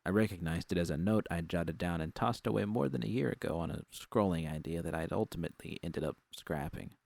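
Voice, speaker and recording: A man's voice: low-pitched, brisk, gravelly, a little mellow, no room sound. A man reading scripted first-person fiction as an audiobook narrator.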